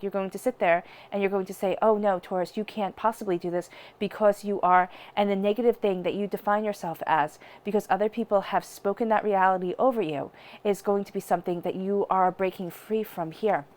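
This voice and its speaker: Woman tarot reader with a head cold, congested and nasal-sounding, chatty direct-to-camera delivery, middle pitch. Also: fairly even intonation, fairly quick, a little mellow. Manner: chatty, speaks straight to viewers.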